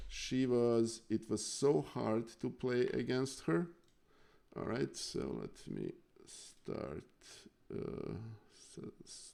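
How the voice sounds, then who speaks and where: A man from Serbia, casually chatting on a live stream while going over chess games, halting with many fillers and thanking viewers. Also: medium pitch, creaky, dark tone.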